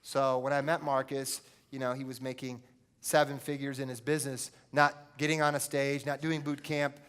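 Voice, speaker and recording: A man's voice: medium pitch, little pitch movement, moderate pace, fairly bright tone, clean tone. A man hosting a live event, introducing speakers in an informal way from the stage.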